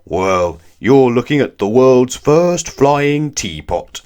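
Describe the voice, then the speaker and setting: A man voicing a huge, hearty giant wizard in a children's storybook, booming and thunderous with loud, drawn-out exclamations. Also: expressive. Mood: jovial, boastful. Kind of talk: children's storybook read-aloud.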